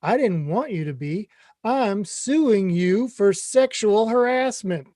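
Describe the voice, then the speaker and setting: Eager, clueless middle-aged stepdad type, played by a man, high for a male, earnest comedy read, dry recording. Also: expressive, slow pace, mellow, no echo. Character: Craig, mom's 55-year-old boyfriend, clueless, eager, chummy. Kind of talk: comedy script table read.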